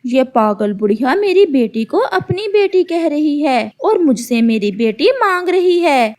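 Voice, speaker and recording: A woman's voice: sits high, very animated, measured pace, darkish tone. A woman voicing a sly, deceitful female cartoon bird with a brazen, insistent manner. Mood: brazen, defiant.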